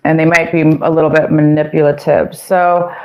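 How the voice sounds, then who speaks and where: A woman with a fairly low voice talks her viewers through a tarot spread in a loose, meandering way, stumbling and restarting often. Her voice sounds worn, as if she is losing it. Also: little pitch movement, moderate pace, a little mellow, slight background noise. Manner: meandering, many fillers and self-corrections. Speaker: tarot reader. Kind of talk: casual tarot reading to viewers.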